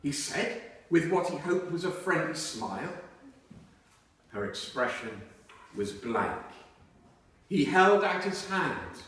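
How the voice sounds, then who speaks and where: A man reading aloud from a novel to listeners in a measured storytelling way. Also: a little high, big pitch swings, fairly bright tone, slight background noise. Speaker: reader at a book reading. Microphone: distant microphone. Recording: very echoey hall, far from microphone.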